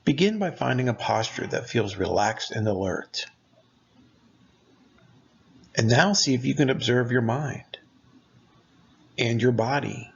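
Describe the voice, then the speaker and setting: A man narrating a guided meditation as its guide, speaking in gentle, deliberate short phrases. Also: mid-range voice, creaky, highs cut off.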